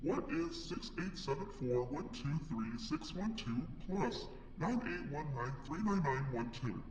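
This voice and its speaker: A man's voice: on the high side, big pitch swings, measured pace. A man voicing a gleefully cruel monster schoolteacher in a video game, theatrical and swinging between chirpy and threatening. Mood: quietly threatening. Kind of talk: video game character acting. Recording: echoey hall, distant, some noise.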